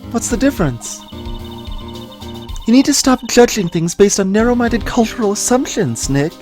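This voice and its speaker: A woman's voice: fairly high pitch, moderate pace, soft background music. A woman voicing a bubbly, curious and cheeky teenage girl sidekick in a video game. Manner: eager, playful, excitable. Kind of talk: dubbed video game dialogue.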